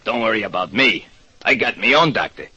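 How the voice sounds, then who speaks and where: In a vintage radio play, a man acts out a defensive night worker. His voice is extremely forceful and swoops in pitch. He is curt, surly and rough in his grammar. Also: animated, fairly quick, a little hiss or hum. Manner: curt, ungrammatical. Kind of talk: 1940s radio acting.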